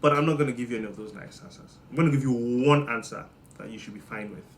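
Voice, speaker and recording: A man's voice: mid-range voice, fast, a little hiss or hum. Playful Nigerian-accented man. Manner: playful, teasing, jumps between loud and muttered. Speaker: monologue host. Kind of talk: comedic monologue.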